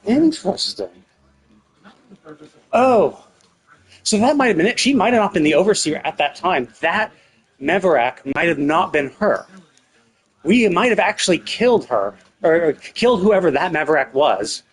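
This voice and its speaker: A man with a slightly husky voice streaming casual game commentary, thinking aloud in a questioning, rambling way. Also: sits high, big pitch swings, moderate pace, long pauses, rough recording. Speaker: live streamer.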